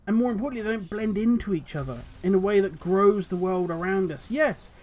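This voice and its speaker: A man with a notably high voice, his throat worn and going hoarse. He rambles passionately through his thoughts, musing aloud as the host of his own commentary video. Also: fairly quick, a little mellow. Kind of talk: solo commentary on game lore.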